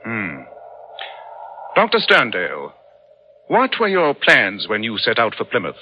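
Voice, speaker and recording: A man's voice: lowish voice, wildly swooping pitch, a little slow, breathy edge, full character voice. An actor playing a commanding male detective in a 1940s radio drama. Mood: measured authority. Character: commanding male detective passing lenient judgement. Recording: muffled, noisy old radio recording.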